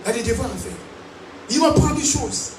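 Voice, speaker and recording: A man from Africa preaching a sermon to a congregation, animated and calling out to them. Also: very high voice, a little fast, a crowd in the background. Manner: animated preaching with call-and-response. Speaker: pastor. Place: large church hall. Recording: echoey hall, distant mic, noisy.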